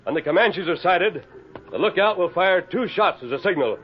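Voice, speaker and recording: Resolute high-pitched western radio hero. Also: male voice, animated, moderate pace, a loud crowd. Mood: firm, commanding. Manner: urgent, authoritative, clipped commands. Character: masked western lawman hero, resolute and commanding. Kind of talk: old-time radio western acting. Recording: dull lo-fi vintage radio recording.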